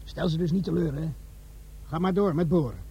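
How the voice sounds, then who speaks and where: A man's voice: fairly high pitch, brisk, lightly acted. An actor playing a dry, mock-polite army corporal who needles his superior in a comic radio play. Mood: businesslike. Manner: deadpan, teasing, mock-polite. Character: dry, sardonic army corporal, robber's sidekick. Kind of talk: comic radio drama acting.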